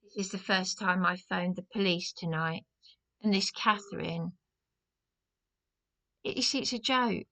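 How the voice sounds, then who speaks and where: A woman's voice: on the low side, measured pace, long pauses, sharp tone. A distressed woman making a complaint as a caller, agitated and insistent, repeating her phrases.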